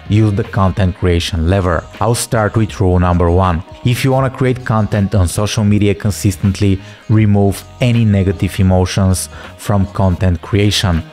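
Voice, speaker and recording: A man in his thirties with a Bulgarian accent, giving scripted advice in a steady, earnest talking-head style from his living room, into a studio mic. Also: lowish voice. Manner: steady, earnest, instructive delivery. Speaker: content creator. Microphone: large studio mic on a stand. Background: soft background music.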